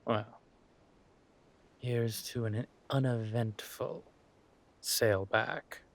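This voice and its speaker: A man playing a flirtatious, smug villain king in a roleplay audio drama, talking to his spouse in a husky, teasing, languid way. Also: mid-range voice, steady tone, slight background noise. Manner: teasing, languid, amused, drawn-out phrasing with murmured asides.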